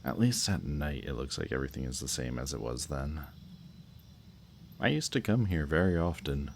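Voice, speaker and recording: Man acting as a very deep-voiced smug villain. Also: expressive, vocal fry, a little hiss or hum, full character voice. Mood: wistful, coaxing. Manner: teasing, unhurried, softly menacing. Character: villain kidnapper with powers, smug, flirtatious, falsely romantic. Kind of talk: roleplay audio drama, intimate monologue to the listener. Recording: outdoor night bird and insect ambience. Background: birdsong and crickets at night.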